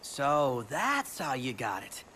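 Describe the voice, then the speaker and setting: Animated, chirpy young sidekick. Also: male voice, mid-range voice, takes it slowly, a little hiss or hum, exaggerated voice. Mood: playful. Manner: playful, bantering. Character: chirpy, excitable young male sidekick, playful. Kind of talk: dramatic video game acting.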